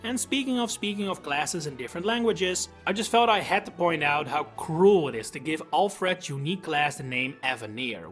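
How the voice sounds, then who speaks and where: A man's voice: a little high, fairly bright tone. A young man with a Dutch accent, a native Dutch speaker, narrating a scripted video essay with enthusiasm and wry asides. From Netherlands. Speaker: YouTube host. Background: faint background music.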